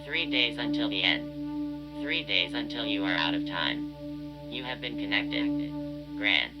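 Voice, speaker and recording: A man's voice: lowish voice, wildly swooping pitch, measured pace. A man voicing the menacing voice of a cursed arcade game in an audio drama, making cryptic pronouncements through a muffled sound. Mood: cold, ominous. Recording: muffled lo-fi voice under loud music.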